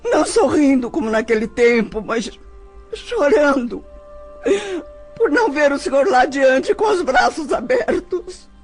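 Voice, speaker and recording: An actress playing an ailing, grieving older woman in an audio drama, halting and tearful as she pines for her father. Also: sits high, very animated, soft background music. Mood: anguished. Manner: halting, tearful, sighing delivery. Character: sick, grieving elderly daughter calling for her dead father.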